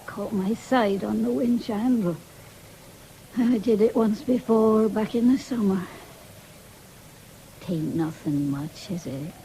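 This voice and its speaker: Poorly country mum, rural english accent, slow n mellow, stubborn, old radio drama w bit of hiss. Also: female voice, mid-range voice, rough recording. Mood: evasive. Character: ailing, stubborn, fretful country farmer's wife and mother. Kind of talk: radio drama acting, 1970s.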